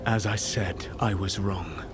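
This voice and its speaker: An actor playing a solemn, remorseful and proud ancient mage in a video game, speaking in measured, formal phrases. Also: male voice, low-pitched, background noise. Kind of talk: dramatic video game cutscene acting.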